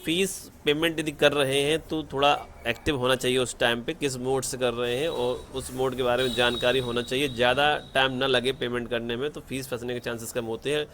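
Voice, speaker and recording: A man's voice: mid-range voice, level tone, fairly quick, few pauses, fairly bright tone, slight background noise. A man narrates a step-by-step screen tutorial in an instructional way, mixing English terms into his Hindi and often checking in with an okay. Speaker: online tutorial presenter.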